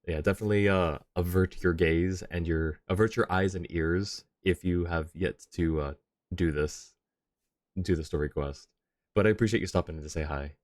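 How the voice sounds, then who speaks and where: Deep male voice, livestream host, casual game reactions, dry humour, close dry mic, background music. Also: fairly even intonation, normal speed, dry recording.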